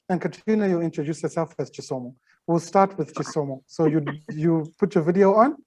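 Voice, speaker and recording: Workshop facilitator, halting speech, lots of fillers, video call. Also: mid-range voice, a little mellow. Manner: halting, many fillers and restarts. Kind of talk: guiding a group exercise.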